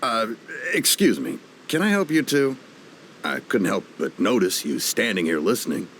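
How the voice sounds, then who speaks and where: A man's voice: on the low side, wildly swooping pitch, moderate pace, fairly bright tone, a little hiss or hum. An actor playing a polite, courteous townsman in a video game. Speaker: local townsperson. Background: game score music.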